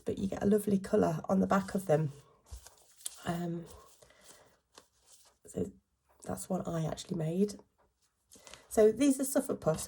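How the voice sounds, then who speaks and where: A British woman with a slight cold and a croaky voice, chatting informally through a hands-on craft tutorial. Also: medium pitch, dark tone. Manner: chatty, informal, self-correcting. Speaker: craft channel host.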